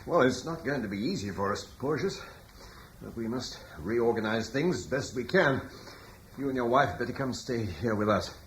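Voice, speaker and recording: A man's voice: mid-range voice, lightly acted. A man playing a steadfast, commanding lord. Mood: resolute. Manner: measured, resolute, dryly ironic. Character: steadfast Romano-British lord, calm, dutiful. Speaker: lord of the household. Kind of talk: radio drama acting. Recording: old broadcast with faint hiss.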